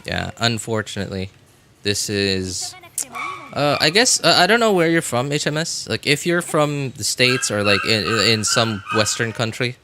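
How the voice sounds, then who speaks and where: A man chatting casually as he streams a game, rambling and halting as he hunts for words in a self-deprecating way. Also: mid-range voice, very animated, background noise. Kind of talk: casual livestream chat while gaming.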